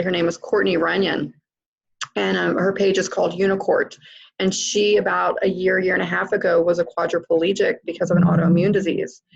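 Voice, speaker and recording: A woman's voice: fairly low pitch, creaky, husky, muffled recording. A woman hosting an interview, speaking emphatically and addressing her listeners directly. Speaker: host. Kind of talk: interview, spiritual coaching chat.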